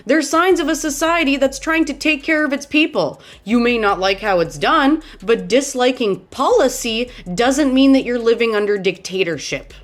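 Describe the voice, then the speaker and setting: A woman's voice: on the high side, fairly bright tone. A woman from Romania giving blunt, exasperated political commentary to camera, often tagging her points with "okay?".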